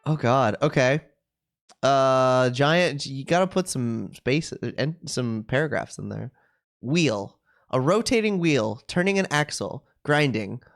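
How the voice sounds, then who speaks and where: A game streamer giving casual, halting live commentary and reading game text aloud expressively. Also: mid-range voice, lively intonation. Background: music.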